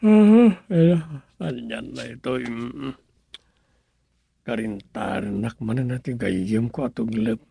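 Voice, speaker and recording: An actor playing a pensive story writer who mutters and muses to himself in a radio comedy drama. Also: male voice, mid-range voice, takes it slowly, vocal fry, mellow, husky, no room sound, exaggerated voice. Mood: musing.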